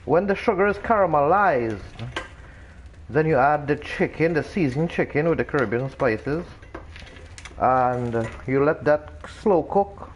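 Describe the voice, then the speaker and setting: A man's voice: high-pitched, a little hiss or hum. A man from Trinidad with a Trinidadian accent, chatting casually as a streamer and explaining things in a rambling way, full of restarts. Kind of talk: casual chat while gaming.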